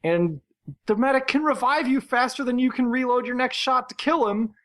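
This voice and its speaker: A man's voice: very high voice, moderate pace, fairly bright tone. A man co-hosting a casual gaming podcast, talking in an opinionated, ranting way. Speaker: podcast co-host.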